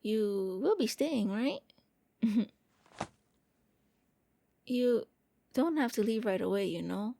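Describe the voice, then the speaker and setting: A gentle young vampire prince voiced by a woman speaks in a lightly high, soft voice, recorded very dry. He is an eager, lonely host, coaxing and a little hesitant, with playful touches in an anime ASMR roleplay style. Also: lively intonation, slow, creaky, pauses often, dry recording, heavily acted. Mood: welcoming, tentative.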